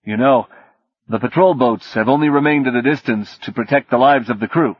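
A man's voice: medium pitch, brisk, breathy edge, no room sound, natural voice. A man voicing a practical ship captain who talks plainly and firmly. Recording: dull dry audiobook recording.

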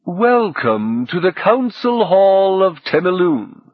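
A man voicing a courtly, benevolent host who speaks formally and deliberately in an audiobook. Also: sits high, very animated, no room sound, narrow, tinny audio, pitched above the performer's own voice, full character voice. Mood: grand, welcoming. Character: courtly male host, grand, benevolent, a little puzzled.

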